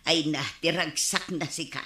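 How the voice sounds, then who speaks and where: A woman's voice: sits low, steady tone, normal speed, bright, forceful tone, roomy sound. A woman playing a plain-spoken, teasing village woman with an Ilocano accent in a comedy radio drama. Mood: matter-of-fact, teasing.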